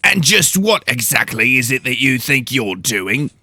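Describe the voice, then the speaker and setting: A man voicing a giant, threatening female house steward with a gruff growl in a fantasy audio drama. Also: medium pitch, very animated, measured pace, sharp tone, no room sound, pitched above the performer's own voice, heavily acted.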